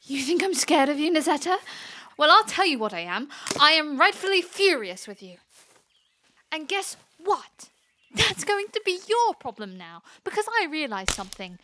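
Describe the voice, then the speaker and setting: A woman's voice: high-pitched, big pitch swings, moderate pace, pushed and bright, heavily acted. A woman playing a taunting, mischievous, spoiled young princess in a fantasy audio drama, theatrical and sarcastic. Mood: indignant, furious.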